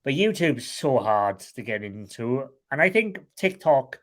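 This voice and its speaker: Welsh man, plain mid pitch, candid guest in a loose chat, swears freely. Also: big pitch swings, measured pace, fairly bright tone. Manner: frank and rambling, swears casually. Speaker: guest, online seller.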